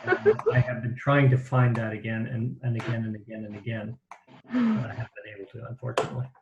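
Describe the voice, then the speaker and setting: A man's voice: mid-range voice, expressive, fast, heavy background noise. Man explaining in an echoey room. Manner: rambling, self-deprecating explaining. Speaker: host of a caller training session. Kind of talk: casual online meeting chat. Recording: echoey room, dull sound.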